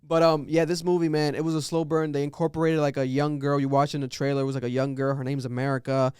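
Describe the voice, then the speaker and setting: Podcast co-host, male, fairly high voice, smooth tone; loose, joking, animated talk. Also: dry recording, clean studio sound. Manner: animated, laughing, quick asides. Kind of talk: casual podcast chat.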